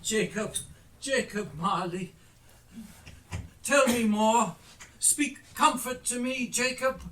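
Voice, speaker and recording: A man's voice: very high voice, slow, a bit bright, full character voice. A man on stage playing a skeptical, blustering old miser who is easily frightened, in theatrical style. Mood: pleading. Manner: blustering, stammering when frightened. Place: theatre stage, recorded live. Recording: distant live stage play recording.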